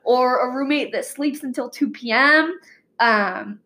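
A woman's voice: high voice, measured pace, a little hiss or hum. Young woman chatting with lots of "um". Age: young adult. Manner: relaxed, fills with "um" and "like". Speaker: student co-host. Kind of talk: casual podcast chat.

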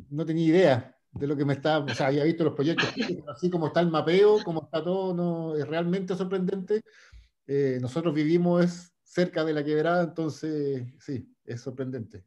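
A man's voice: on the high side, a little mellow, muffled recording. A man moderating an online discussion, enthusiastic and rambling.

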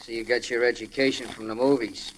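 A man's voice: mid-range voice, fairly even intonation, fairly quick, lightly acted. Man acting a tough wisecracking ex-con butler. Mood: gruff, challenging. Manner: slangy, blunt, needling tough talk. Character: tough wisecracking ex-convict butler, blunt and menacing. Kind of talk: 1940s radio acting. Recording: noisy old-time radio recording.

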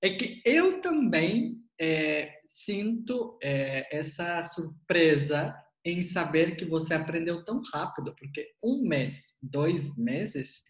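A man interviewing a student about an online language course. He talks in a casual, animated way, exclaims often and repeats key words. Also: on the high side, slow, hardly any pauses, roomy sound.

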